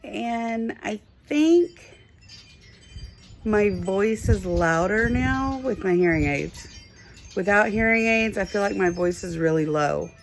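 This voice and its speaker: A woman filming a casual home vlog about her family, speaking softly and quietly into a phone camera held close. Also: animated, slow pace, slight background noise. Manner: soft, low-volume talk that others struggle to hear. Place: at home, partly in bed.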